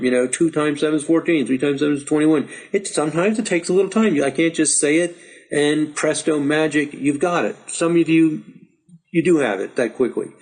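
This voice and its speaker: A middle-aged man who is losing his voice, patiently walking learners through a software chart tutorial and urging them to practice. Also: on the high side, poor audio. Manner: patient, repetitive, encouraging. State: slightly hoarse, losing his voice. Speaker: astrology teacher. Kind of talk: screen-share software tutorial.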